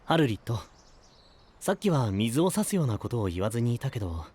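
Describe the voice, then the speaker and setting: Composed, thoughtful young knight. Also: male voice, medium pitch, sing-song, a little mellow, breathy edge. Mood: grave. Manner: measured, sincere, composed. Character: calm, thoughtful young male knight, gently serious. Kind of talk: anime-style voice drama.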